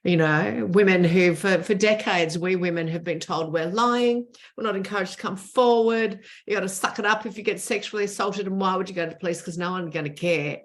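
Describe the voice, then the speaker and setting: Earnest low-voiced woman in her sixties. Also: fairly quick, few pauses. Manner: earnest and emphatic, repeats words for stress. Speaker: interview guest. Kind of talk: conversational interview.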